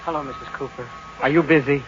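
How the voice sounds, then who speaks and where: An actor playing a talkative, self-important family-man neighbor in an old radio sitcom, husky-voiced and folksy. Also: mid-range voice, fairly even intonation, fast, dull audio, over music, natural voice. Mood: lonesome. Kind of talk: 1940s-50s radio sitcom acting.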